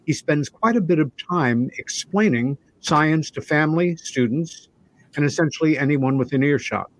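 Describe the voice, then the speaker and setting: A man hosting a talk show, speaking in a measured, deliberate, conversational way. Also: fairly high pitch, expressive, rough recording. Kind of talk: conversational show introduction and interviewing.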